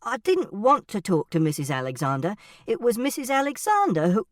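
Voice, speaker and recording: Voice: mid-range voice, sing-song, brisk, no echo, studio quality, distant mic, voice pushed up, close to an everyday voice. A narrator voicing a literal-minded, earnest teenage boy who tells his story in a precise, matter-of-fact way. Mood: anxious, defensive. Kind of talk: first-person audiobook storytelling.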